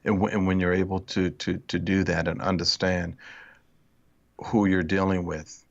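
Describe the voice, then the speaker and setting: Deep gravelly man thinking out loud, dull dry audio. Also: flat delivery, moderate pace, no echo. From Philadelphia area, United States. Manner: reflective, hesitant, many restarts. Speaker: interview guest, author. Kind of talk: casual interview chat.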